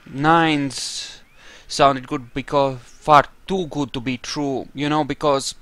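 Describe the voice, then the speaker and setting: A man giving casual role-playing game commentary, haltingly thinking aloud. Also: mid-range voice, steady tone, fairly bright tone, dry recording. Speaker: gameplay narrator.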